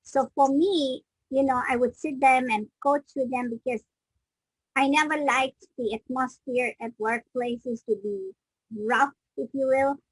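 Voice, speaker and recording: A woman's voice: sits high, fairly even intonation, a little slow, slightly rough, no echo, poor audio. A middle-aged woman from Kenya, guest on an interview, telling her story in a reflective way and often restarting sentences mid-thought. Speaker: guest, business coach.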